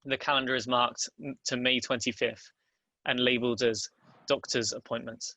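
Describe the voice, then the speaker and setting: A man's voice: mid-range voice, level tone, normal speed, bright, forceful tone, no echo. A man narrating stage directions at a script table read.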